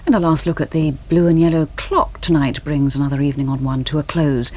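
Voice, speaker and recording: A woman's voice: low-pitched, brisk, a little mellow. A British woman reading scripted late-night television continuity as the announcer, on an old VHS recording of a 1980s broadcast.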